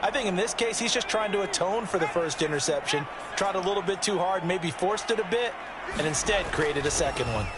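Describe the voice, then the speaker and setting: A man's voice: high-pitched, expressive, brisk, heavy background noise. A man working as a color commentator, giving folksy, conversational football analysis in an American accent for a video game. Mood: conversational, analytical.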